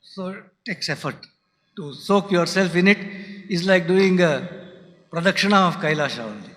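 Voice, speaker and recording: A man with a Tamil mother tongue gives a reflective spiritual talk in a bright, fairly high voice. He speaks haltingly and often lets his voice fall to a soft hush. The sound rings with the long reverb of a lecture hall. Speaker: speaker at a spiritual centre's founders day.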